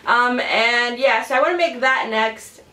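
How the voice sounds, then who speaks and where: A woman's voice: fairly high pitch, a little slow, bright, forceful tone. A young woman in her twenties chatting casually about makeup as a beauty vlogger, rambling with lots of fillers and sounding a bit congested from a cold. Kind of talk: casual chat to camera. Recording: roomy echo, mic a bit distant.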